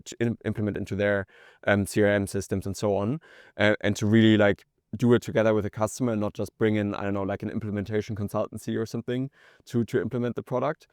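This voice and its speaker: A man's voice: lowish voice, darkish tone, clean tone, dry recording, clean studio sound. A man speaking as a startup co-founder and interview guest, explaining things conversationally with circling, hedged phrasing.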